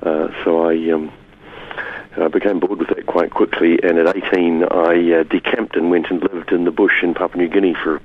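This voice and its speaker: Deep, husky, droll older New Zealand man. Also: little pitch movement, normal speed. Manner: wry, droll, self-deprecating humour. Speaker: interview guest, community development academic. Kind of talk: conversational storytelling interview. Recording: narrow, muffled radio-like remote line.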